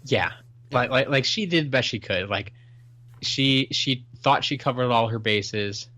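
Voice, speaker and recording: A man in casual podcast chat, co-hosting with a middle-pitched voice. He talks haltingly, full of restarts and fillers, while reading listener mail. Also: pushed and bright, dry recording. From Washington State, US. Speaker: podcast co-host.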